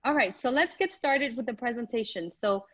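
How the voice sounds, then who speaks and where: A woman's voice: on the high side, expressive, normal speed, a bit bright, no room sound, dull audio. A middle-aged woman from Morocco giving an upbeat, chatty business presentation remotely, with frequent ums and restarts. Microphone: remote call microphone.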